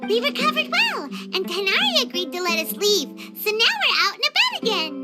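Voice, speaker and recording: A woman voicing a small, chatty, childlike fairy companion in a video game, chirpy, expressive and helpful. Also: extremely high pitch, brisk, loud music behind the voice, full character voice. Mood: cheerful. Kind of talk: video game dialogue acting.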